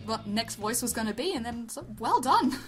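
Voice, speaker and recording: Female review co-host, high voice, giggly and unsure of herself, unscripted chat with music underneath. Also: animated, moderate pace. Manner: giggly, tentative, self-deprecating. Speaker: amateur web show review co-host.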